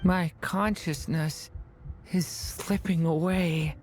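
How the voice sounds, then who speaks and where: A woman voicing a gentle young dragon boy in a video game, hesitant and earnest, vulnerable but resolute. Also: on the low side, fairly even intonation, slow pace, over music. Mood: weak, fading. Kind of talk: dramatic video game acting.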